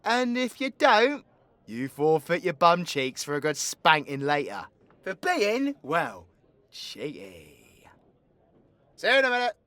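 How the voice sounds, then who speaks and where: The foul-mouthed British supervillain at the end of the pub bar in an audio drama, acted by a man, teasing crudely, higher-pitched and swinging all over. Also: huge pitch swings, slow pace, a bit bright, full character voice. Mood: cocky, teasing. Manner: crude, bantering, slangy. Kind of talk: ASMR audio roleplay acting.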